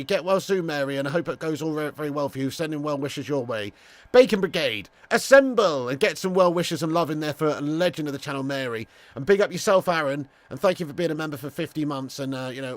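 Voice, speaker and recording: A young English man hosting a live football watch-along, chatting casually, swearing, and greeting viewers by name from a room at home. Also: on the high side. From England. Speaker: host.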